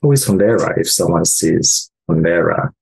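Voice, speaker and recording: A man hosting an interview and asking his guest questions in a halting way, with many fillers. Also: low voice, lively intonation, vocal fry, a little mellow, husky. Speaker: interviewer host.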